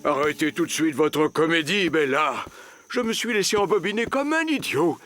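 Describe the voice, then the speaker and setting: A man voicing a big, good-natured bear in a French cartoon dub, blustery and protective, with expressive, hesitant interjections. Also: a little high, normal speed. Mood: indignant. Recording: music under the dialogue.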